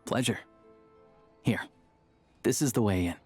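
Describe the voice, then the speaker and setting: A man's voice: on the low side, measured pace, faint music behind, natural voice. An actor playing an easygoing, knowledgeable local man in a video game, talking in a casual, conversational way. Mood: relaxed, friendly. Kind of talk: video game character dialogue.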